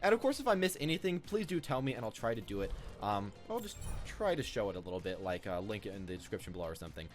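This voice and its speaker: A man's voice: on the low side, very animated, fast, hardly any pauses, faint music behind. A man giving casual, unscripted, rambling commentary as the host of a gaming video. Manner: rambling, informal.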